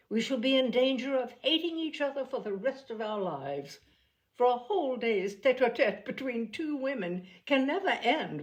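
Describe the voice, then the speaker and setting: Genteel young lady of a period storybook, voiced by a woman: mannered, playfully dramatic, animated intonation. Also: a little high, voice pushed up, poor audio.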